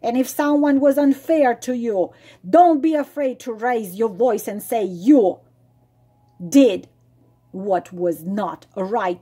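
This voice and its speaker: Slow, husky woman reading tarot. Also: on the high side. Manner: emphatic, repeats phrases for emphasis; drops to a soft breathy near-whisper at times. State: losing her voice. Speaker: tarot reader and psychic. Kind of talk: spontaneous spoken tarot reading to viewers.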